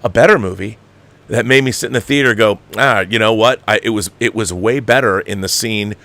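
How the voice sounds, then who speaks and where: A man's voice: lowish voice, a little fast, pushed and bright. Exasperated man ranting about films. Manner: exasperated, emphatic, profane. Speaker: host of a film commentary show. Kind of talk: opinionated movie review rant.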